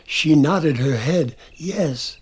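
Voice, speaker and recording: A man telling a bedtime story to help children fall asleep, speaking very slowly in a soft and soothing way. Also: on the high side, husky. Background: soft music.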